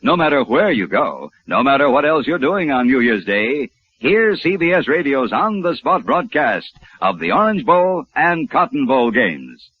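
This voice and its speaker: Male 1950s radio announcer, husky, emphatic, animated pitch, muffled vintage recording. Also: measured pace.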